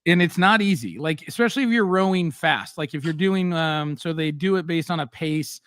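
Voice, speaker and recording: A middle-aged man hosting a casual live-stream chat, telling blunt, animated stories full of fillers. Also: fairly high pitch, a little fast, no echo, studio quality. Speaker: host.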